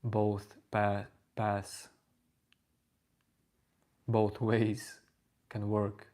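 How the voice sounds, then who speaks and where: A man with a Latvian accent, hosting a livestream and calmly answering chat questions about self-inquiry. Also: on the low side. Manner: unhurried, even, reading out chat names. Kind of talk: slow calm spiritual chat.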